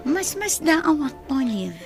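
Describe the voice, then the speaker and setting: A woman's voice: sits high, sing-song, takes it slowly, music in the background, heavily acted. An actress playing a wistful, hesitant young female student in a radio drama. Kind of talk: Ilocano radio drama acting.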